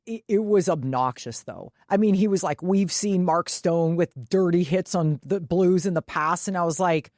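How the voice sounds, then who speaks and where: A woman's voice: lowish voice, normal speed, hardly any pauses, a little mellow, no room sound, clean studio sound. A woman co-hosting a casual sports talk show, retelling stories conversationally by acting out who said what. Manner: conversational, quotes herself and others. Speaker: sports podcast co-host. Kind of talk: casual chat, animated retelling.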